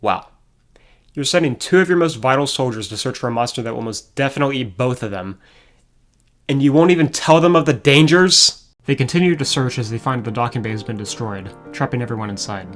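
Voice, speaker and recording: A man's voice: medium pitch, expressive, a little fast, fairly bright tone. A man narrating an episode recap as a reviewer, with wry, sarcastic asides. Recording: music playing behind the voice. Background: background music.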